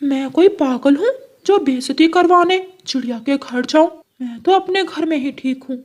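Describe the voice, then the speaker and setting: Woman as an indignant, shrill male parrot. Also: normal speed, lightly acted. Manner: protesting, excitable. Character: indignant, gullible male parrot, easily offended.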